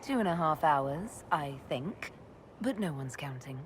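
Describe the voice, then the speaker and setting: An actress playing a young female elf mage leader in a game, calm and measured. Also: deep voice, slight background noise. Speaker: player character leader.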